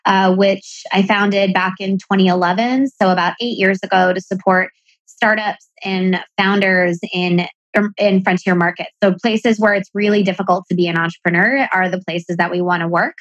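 A woman's voice: medium pitch, fairly even intonation, normal speed, no echo. Woman, American accent, podcast guest, upbeat, chatty, dull lo-fi audio. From Pakistan. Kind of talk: podcast interview.

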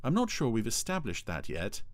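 A man's voice: medium pitch, huge pitch swings, normal speed, no room sound. A man voicing a polite, inquisitive girl with a mild, matter-of-fact manner in a children's storybook reading.

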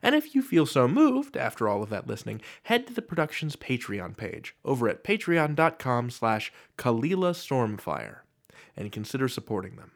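A man's voice: mid-range voice, sing-song, normal speed, gravelly. A man hosting a podcast from a script, with a playful, theatrical delivery. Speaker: podcast host.

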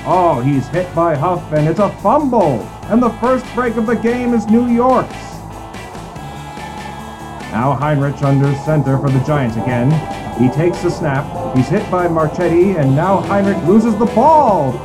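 An actor plays a male 1950s football announcer with a high-pitched voice. He calls plays in a brisk, declamatory, dramatic old-time broadcast style over clearly audible music. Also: low-quality recording. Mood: excited. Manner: declamatory, building excitement on plays. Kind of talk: old-time sports commentary.

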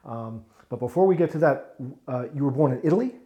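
A man hosting a relaxed sit-down interview. He steers with questions and keeps the talk moving with quick agreeing interjections. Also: mid-range voice, lo-fi sound. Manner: friendly, prompting, quick affirmations. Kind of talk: casual interview chat.

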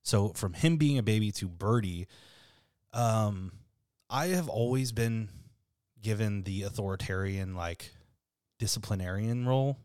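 Deep voiced guy in his 30s, podcast host, chill and cussing a lot, dry close sound. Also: unhurried, darkish tone, clean tone, no echo. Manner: laid-back, swears freely, laughs often. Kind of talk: casual podcast chat.

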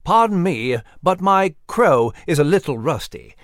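A man's voice: on the high side, sing-song, a little slow, no echo, studio quality, voice pushed up. A man voicing a small, polite mouse with a formal, fussy way of talking.

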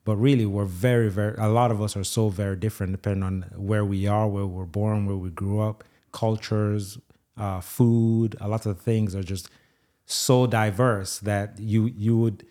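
A man's voice: on the low side, steady tone, moderate pace, mellow. A man from Nigeria hosting a podcast as a doctor, chatting in a conversational interview style.

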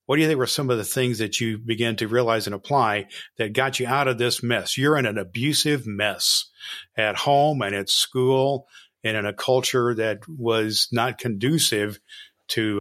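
A man's voice: medium pitch, normal speed, fairly bright tone, no echo, studio quality. A man hosting a podcast interview, summing up the guest's points and asking probing questions. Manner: summarizing, probing. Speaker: podcast host.

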